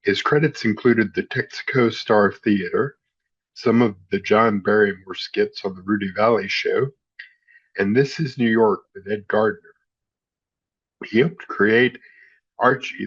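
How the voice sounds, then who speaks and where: Low-voiced man reading scripted narration. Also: breathy edge, muffled recording. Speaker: narrator, host.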